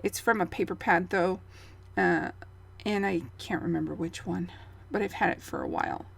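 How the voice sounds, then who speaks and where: A woman in her thirties, hoarse and gravelly from losing her voice, chatting through a craft project in a rambling, easygoing way with fillers. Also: fairly low pitch, moderate pace. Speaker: scrapbooking channel host. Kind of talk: casual voice-over of a craft process.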